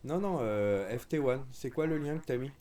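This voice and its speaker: A man's voice: mid-range voice, expressive, moderate pace, noisy background. A man chatting casually and enthusiastically as a radio hobbyist, in an informal way. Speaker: radio amateur streaming a group conversation.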